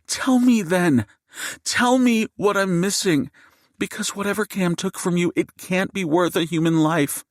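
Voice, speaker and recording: A man's voice: high voice, a little slow, dry recording, studio quality, pitched above the performer's own voice. A man doing a seething furious mother. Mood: furious, defiant. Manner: shouting, seething. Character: fierce furious mother facing down a gunman.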